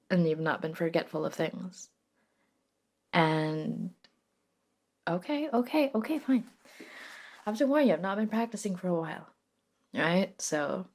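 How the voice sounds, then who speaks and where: A woman playing a reluctant, sardonic modern witch in a soft-spoken ASMR roleplay, talking casually and hesitantly with dry wit and mild swearing. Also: lowish voice. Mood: reluctant, wry.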